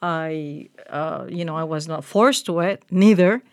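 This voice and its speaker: A woman's voice: low voice, a little slow, darkish tone. A Puerto Rican woman in her forties, a guest in an interview, talking casually with many fillers and restarts. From Puerto Rico. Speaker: guest, communications manager.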